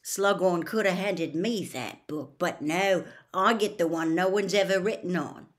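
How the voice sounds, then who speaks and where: A woman's voice: fairly low pitch, measured pace, lightly acted. A woman voicing an envious, grumbling teenage boy in a storybook, blunt and exasperated.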